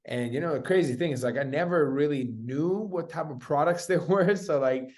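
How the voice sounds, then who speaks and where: Young male guest, slightly high voice, casual and slangy, bro-heavy personal storytelling. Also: a little fast, a little mellow. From Spain. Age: young adult. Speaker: interview guest, entrepreneur.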